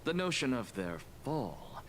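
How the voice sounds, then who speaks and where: An actor playing a proud, disdainful philosopher lord in a video game, with haughty, theatrical formal speech. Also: male voice, medium pitch, sing-song, a little slow, a little hiss or hum. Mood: pensive, lecturing. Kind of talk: scripted video game voice acting. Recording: game music behind the voice.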